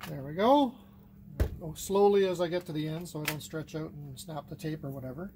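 A middle-aged man giving a casual, unscripted workbench tutorial, thinking aloud haltingly as he works with his hands. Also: fairly high pitch, noisy background. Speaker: hobbyist demonstrating cassette repair. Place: indoors at a wooden workbench.

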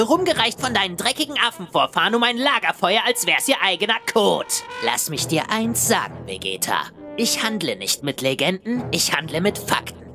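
Smug, music-backed alien tyrant. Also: male voice, sits high. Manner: sardonic, condescending, drawling for effect. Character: male alien tyrant villain, smug, mocking, theatrical. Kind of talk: anime parody dub acting. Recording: music behind the voice.

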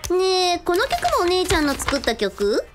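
A woman's voice: very high voice, sing-song, moderate pace, over music. A woman playing a quirky, teasing young woman in a radio drama, with quaint mannered phrasing. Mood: musing. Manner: quaint mannered sentence endings.

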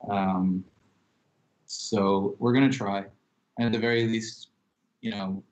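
A young man, a chef, giving calm, measured answers in a casual interview over a video call. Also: fairly low pitch, poor audio. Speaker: chef and restaurant co-owner. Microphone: laptop webcam on video call.

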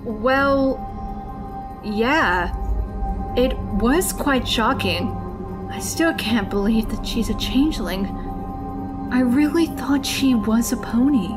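A woman's voice: fairly high pitch, animated, slow, long pauses, music in the background. A woman voicing an anxious, earnest young pony in a cartoon, speaking hesitantly. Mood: unsure. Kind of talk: animated cartoon voice acting.

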